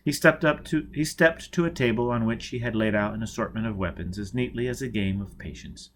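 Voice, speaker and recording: A man's voice: mid-range voice, a little fast, a little hiss or hum. A man reading a novel aloud as scripted audiobook narration. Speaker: audiobook reader.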